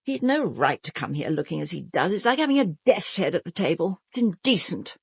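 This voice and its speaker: An actress playing a vain, self-absorbed stage star with a British accent, haughty and melodramatic in a radio drama. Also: female voice, on the low side, big pitch swings, a little fast, creaky, breathy edge, no room sound, exaggerated voice. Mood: bitter.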